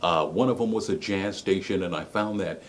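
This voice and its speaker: A middle-aged man with a smooth broadcaster's voice, telling his personal story in an earnest, emphatic way that repeats phrases for effect. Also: deep voice, moderate pace, a little hiss or hum. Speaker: former radio broadcaster. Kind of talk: personal story and plea to camera.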